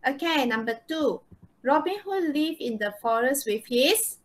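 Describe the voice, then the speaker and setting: Slow, high-voiced woman teacher. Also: animated. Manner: checks understanding with short questions. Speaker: English teacher. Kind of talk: interactive online lesson. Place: online video call.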